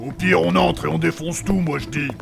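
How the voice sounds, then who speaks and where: A man playing a gruff, crude adventurer eager to smash things, in a comic fantasy audio drama. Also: fairly high pitch, fairly even intonation, fairly quick, over music. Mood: aggressive, impatient. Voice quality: gravelly. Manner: blunt, crude.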